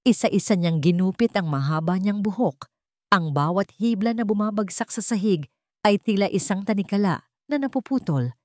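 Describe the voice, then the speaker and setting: A woman with a Filipino accent reading a scripted story as a narrator, in measured phrases with dramatic pauses. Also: medium pitch, darkish tone, no room sound, clean studio sound.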